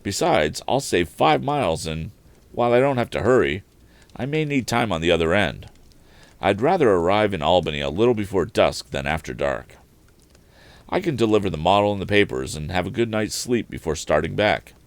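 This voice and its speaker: An earnest, polite storybook lad as a man reads him aloud speaks in a well-spoken, conversational way. The voice is low for a boy, animated in pitch and recorded dry. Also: moderate pace, no echo. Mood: musing. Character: earnest, polite young lad inventor on motorcycle errand.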